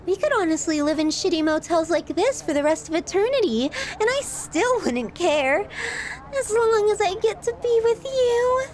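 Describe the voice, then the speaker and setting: High-pitched, sweetly menacing yandere girlfriend. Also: very animated, darkish tone. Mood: clingy, devoted. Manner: cooing, drawn-out, teasing, clingy delivery. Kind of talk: ASMR roleplay acting.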